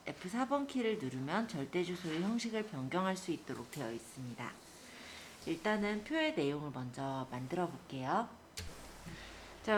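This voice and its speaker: Low-voiced woman teaching, lively intonation. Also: a little hiss or hum. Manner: explanatory, polite spoken address to learners. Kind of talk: step-by-step software tutorial.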